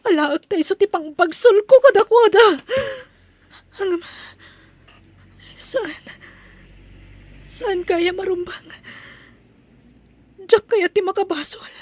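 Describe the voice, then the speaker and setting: A woman acting a betrayed young Ilocano wife in a radio-style drama. Her voice is extremely high and drawn out, plaintive, proud and bitter. The sound is close and dry. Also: a little mellow, dry recording. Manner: emotional, plaintive.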